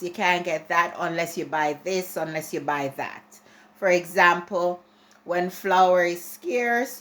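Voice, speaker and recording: Ranting Jamaican woman with a slow drawl. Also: fairly low pitch, fairly bright tone. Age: adult. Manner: chatty and ranting, with many restarts and repeats. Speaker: vlogger. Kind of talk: casual opinion monologue to camera.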